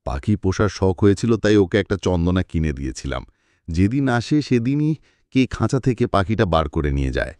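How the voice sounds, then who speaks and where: Polite, chatty father, a bit hesitant, audio-story dialogue, played by a male narrator. Low voice, lively intonation. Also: normal speed, no room sound. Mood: awkwardly cheerful. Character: genial, slightly awkward middle-class father, about Feluda's age.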